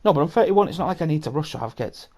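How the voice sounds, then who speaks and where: A man's voice: on the high side, animated, very fast, mellow. Swearing man in his thirties. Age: 30s. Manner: swearing, rambling, full of restarts. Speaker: podcast host. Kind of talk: casual chat.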